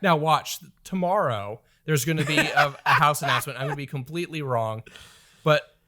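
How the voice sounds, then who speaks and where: Bright-voiced man, rambling. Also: fairly high pitch, fairly quick. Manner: rambling, speculative, laid-back. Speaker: podcast co-host. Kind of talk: casual chat.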